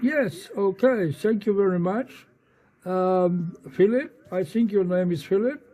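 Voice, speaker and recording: A man with a Dutch accent making a ham radio contact in halting English, spelling things out with the phonetic alphabet. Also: high voice, mellow. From eastern Netherlands. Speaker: amateur radio operator.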